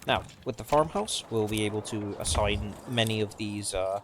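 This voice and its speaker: A man giving casual, subdued gameplay commentary in halting phrases, his voice slightly off from a sore throat. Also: on the low side. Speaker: video game let's player. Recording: clearly noisy background.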